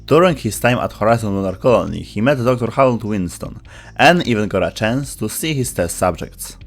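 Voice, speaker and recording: Guy doing scripted explainer voiceover, lowish voice, faint music behind. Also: lively intonation, a little fast. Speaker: video narrator. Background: background music.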